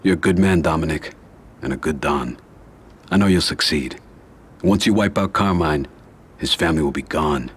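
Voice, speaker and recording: An actor playing a calm mob boss giving measured advice to his soldier. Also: extremely low pitch, breathy edge, full character voice. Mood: approving. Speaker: Michael, mafia boss.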